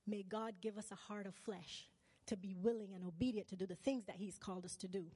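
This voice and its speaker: A woman's voice: medium pitch, fairly even intonation, fairly quick, mellow, husky, dry recording, long reverb tail. A woman from Barbados preaching a sermon. She keeps asking the congregation questions and calls for "amen" and "right?".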